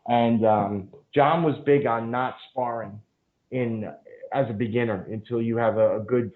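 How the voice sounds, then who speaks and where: A man's voice: medium pitch, steady tone, unhurried. Man, podcast guest, casual storytelling, filler-heavy, swears, thin phone-like audio. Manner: rambling, frequent fillers and profanity. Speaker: podcast guest, jiu-jitsu instructor. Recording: phone-like narrow band.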